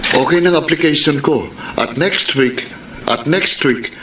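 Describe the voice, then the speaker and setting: A man's voice: fairly high pitch, unhurried, sharp tone. An actor playing a devoted, determined young working man in a Filipino radio romance drama. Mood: excited. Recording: roomy, noisy with background ambience.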